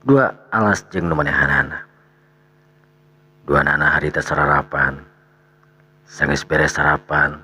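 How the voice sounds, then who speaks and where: A man narrating a dramatic radio tale as storyteller, with a gravelly, husky voice and pauses between short phrases. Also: a bass voice, pushed and bright.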